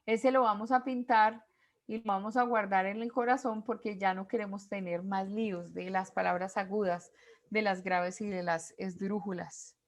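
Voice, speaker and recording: A woman's voice: medium pitch, fairly even intonation, normal speed. A woman teaching a class, explaining step by step with spoken examples and quiz questions for her students. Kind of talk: classroom lecture.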